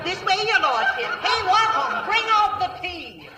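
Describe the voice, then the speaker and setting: Gushing social-climber ma, American West, bossy, animated, piercingly bright, old-time radio comedy with slight noise. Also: female voice, extremely high pitch, unhurried, some chatter behind, heavily acted. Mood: commanding. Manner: folksy dialect with affected refinement. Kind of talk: 1950s radio comedy acting. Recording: noisy old radio broadcast.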